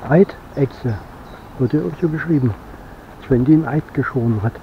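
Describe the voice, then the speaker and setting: A middle-aged man thinks aloud in a casual, unhurried vlog outdoors. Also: mid-range voice, highs cut off, a little hiss or hum. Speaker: geocaching vlogger. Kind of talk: casual musing vlog narration. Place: outdoors on forest paths, later inside a parked van.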